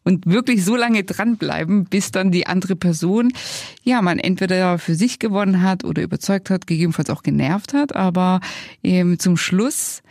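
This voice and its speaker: A woman's voice: fairly low pitch, normal speed, darkish tone, dry recording. Female podcast host, dry close sound. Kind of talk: conversational interviewing.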